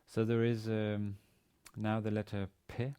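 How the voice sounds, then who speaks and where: A man in his forties, a native Danish speaker with a Danish accent, giving an instructional lecture in a halting, hesitant manner with repeated words. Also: fairly low pitch, monotone, warm tone, clean tone. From Denmark. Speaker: university language teacher. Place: indoor studio with plain grey backdrop.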